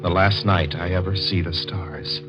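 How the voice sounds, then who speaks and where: An actor as an uneasy young driver. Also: male voice, deep voice, steady tone, moderate pace, lightly acted. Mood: brooding. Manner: tense, brooding narration. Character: uneasy young man driving cross-country alone. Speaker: narrator and lead. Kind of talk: 1940s radio drama narration. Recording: dull lo-fi 1940s radio recording with music.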